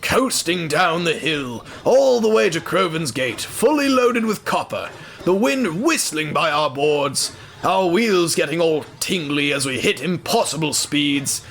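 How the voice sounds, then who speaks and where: A man's voice: on the high side, animated, a little slow, creaky, a bit bright, a little hiss or hum, heavily acted. A man voicing a genial, wry older railway wagon in an audio drama, folksy and patient. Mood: enthusiastic.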